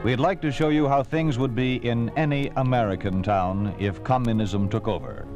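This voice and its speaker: A man's voice: medium pitch, moderate pace, dull audio. A man announcing a 1950s radio drama with solemn, scripted, dramatic narration. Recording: old radio recording under loud music. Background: loud music.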